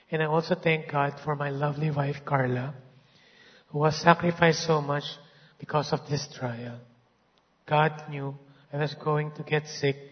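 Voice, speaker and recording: A hoarse man reading an earnest, grateful testimony to a church. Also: on the high side. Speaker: church member giving a testimony. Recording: dull sound in a reverberant hall.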